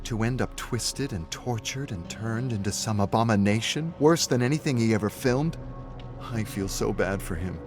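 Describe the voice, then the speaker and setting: A man's voice: on the low side, moderate pace, over music, natural voice. A man voicing a distressed, compassionate woman in a story, speaking with emotional emphasis. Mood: appalled pity.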